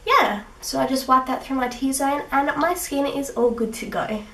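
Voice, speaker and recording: A young woman with a sick, husky rough voice, chatting through her skincare routine with little laughs. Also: high-pitched, normal speed, fairly bright tone. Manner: chatty, giggly, with fillers. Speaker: beauty vlogger. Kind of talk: casual skincare tutorial. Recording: echoey room, mic at a distance.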